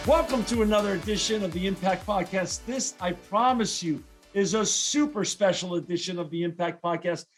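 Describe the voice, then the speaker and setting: A man's voice: high voice, moderate pace, few pauses, soft background music. A man hosting an interview podcast, asking eager questions with frequent restarts. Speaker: podcast host.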